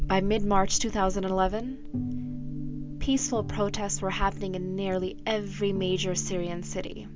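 A woman narrates a scripted news piece in a steady, even voice. Music plays clearly beneath her. The sound is slightly dull and lo-fi. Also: medium pitch, normal speed. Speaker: host, narrator.

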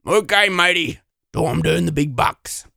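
Man as crude Aussie layabout, gravelly, brash, swears freely, dry close mic. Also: a little high, a little slow, bright, forceful tone, no echo, full character voice. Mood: cheerful. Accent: Australian. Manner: brash, swearing, says mate. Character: crude boastful Australian male bum. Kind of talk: comedy audio drama.

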